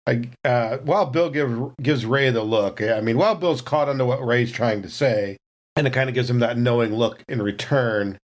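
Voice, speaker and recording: A man's voice: mid-range voice, normal speed. A man playing a tabletop role-playing game, narrating his character in an improvised, conversational way.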